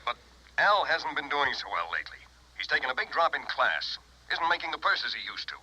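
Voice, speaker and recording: Brisk, sharp radio-drama informant. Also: male voice, mid-range voice, big pitch swings, slight background noise, rough recording. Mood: concerned. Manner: urgent, matter-of-fact. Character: brisk, concerned, businesslike male informant colleague. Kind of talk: 1950s radio drama acting.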